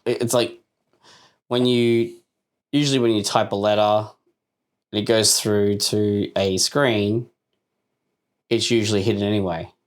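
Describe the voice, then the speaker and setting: A man's voice: fairly low pitch, steady tone, measured pace, pauses often. A man co-hosting a casual tech podcast, bantering and riffing in a jokey, playful way. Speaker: podcast co-host.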